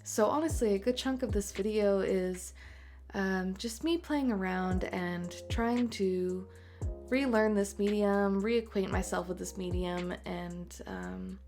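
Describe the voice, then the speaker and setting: A woman in her late twenties, an artist and tattooer, chatting in a voice-over over a speedpaint, raspy and congested from a cold, talking softly with many ums. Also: medium pitch, takes it slowly. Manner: soft, rambling, many ums and uhs. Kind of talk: voice-over chat during a speedpaint. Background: faint music.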